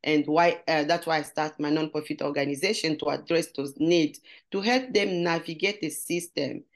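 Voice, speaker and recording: A woman's voice: deep voice, normal speed. Congolese-accented woman, earnest and searching. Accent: Congolese French. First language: French. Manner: earnest, searching for words in English. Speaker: guest. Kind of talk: interview conversation.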